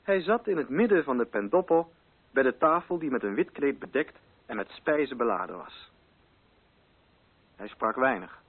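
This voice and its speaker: Male narrator for literary radio drama: medium pitch, husky edge, measured formal delivery, slightly muffled archive sound. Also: expressive.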